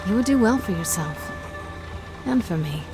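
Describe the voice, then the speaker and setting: A woman playing a confident, scheming pirate captain in a video game, brisk, wry and self-assured. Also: mid-range voice, animated, lightly acted. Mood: recruiting. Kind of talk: dramatic video game acting. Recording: game music behind the voice. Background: game music.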